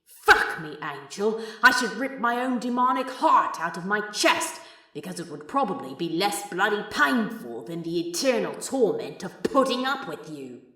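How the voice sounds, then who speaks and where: A woman's voice: medium pitch, sing-song, sharp tone. Woman voicing a tormented lovesick male demon, echoey. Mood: anguished, exasperated. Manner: sweary, impassioned, halting confession. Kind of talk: fan fiction audiobook monologue. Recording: echoey, distant from the mic.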